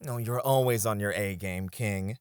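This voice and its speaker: A man's voice: lowish voice, moderate pace, smooth, dry recording, studio quality, lightly acted. A man playing a friendly, easily flustered bartender and playful flirt in an ASMR roleplay, prone to stammering. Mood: teasing, flustered.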